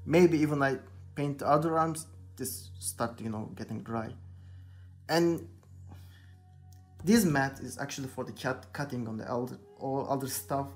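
A man's voice: medium pitch, measured pace, pauses often, faint music behind. A young Turkish man with a Turkish accent, chatting casually in hesitant, searching English while he paints a figure at his workbench. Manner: hesitant, searching for English words, many "you know". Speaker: hobby painter, channel host. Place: indoor workbench.

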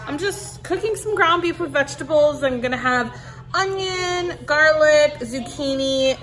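A woman's voice: high-pitched, bright, forceful tone. A woman in her thirties talking casually to her phone camera while cooking in her home kitchen, upbeat and chatty. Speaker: family vlogger. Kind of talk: casual cook-with-me vlog. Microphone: handheld phone camera at arm's length.

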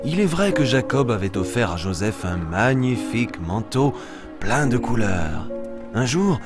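A man playing a friendly young lion who tells stories to small children in an expressive, simple way on a kids' show. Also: mid-range voice, unhurried. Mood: storytelling. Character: friendly young lion storyteller, warm and eager. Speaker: storyteller. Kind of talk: children's show dialogue and storytelling. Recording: music bed under the voice.

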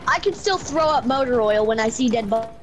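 High-voiced, hapless robot from the future, played by a woman. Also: animated, a little fast, muffled recording, faint music behind, natural voice. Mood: grumbling, squeamish. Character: hapless time-travelling robot from the future, easily queasy. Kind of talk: improvised game roleplay. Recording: noisy home gaming mic.

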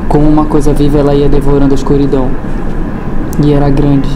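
A man narrating a story in an even, measured reading. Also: medium pitch. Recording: noisy ambient background.

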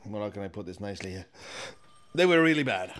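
A man's voice: lowish voice, animated, fast, a bit bright. A man playing a portly magazine boss in an audio play, officious, blunt and fussy. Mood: brisk, awkward.

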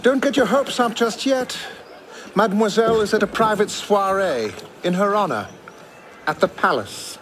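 An actor playing a haughty, dutiful servant with a dry, withholding manner in a video game. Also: male voice, high voice, animated, normal speed, a bit bright, a little hiss or hum. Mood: snide. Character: snide, dutiful male household servant.

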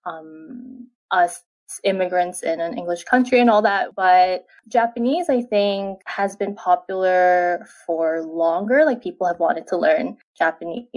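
A young woman who grew up in Quebec, a guest in a casual interview, talking in a chatty way full of "like" and "you know" and laughing easily. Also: lowish voice. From Canada, Quebec. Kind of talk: casual conversation.

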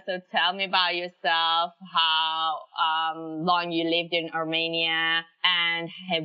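Woman, interviewer, smooth voice, muffled audio. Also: low-pitched. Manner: friendly, eager prompts and reactions. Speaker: podcast host. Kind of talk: casual interview chat.

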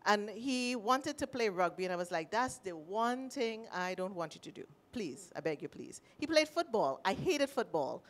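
A middle-aged woman with a Trinidadian accent, sharing a personal story at a panel with animated, emotional delivery, speaking into a handheld mic. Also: medium pitch, normal speed, few pauses. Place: panel stage in a large indoor room.

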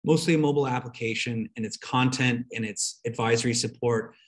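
Male online presenter, mid-pitched, conversational explainer delivery for a slide webinar on a video call. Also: brisk.

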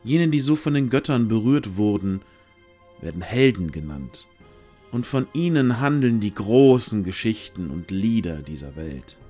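A man with a fairly low voice narrates a fantasy audio play. He reads in a measured storytelling manner, leaving deliberate pauses between phrases. Soft music plays behind him. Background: background music.

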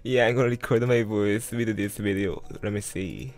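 A man of about twenty with a Korean accent, giving casual commentary in halting, repetitive non-native English. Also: fairly low pitch, fairly even intonation, a little mellow. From South Korea. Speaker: streamer narrating his video. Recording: voice-over with music underneath.

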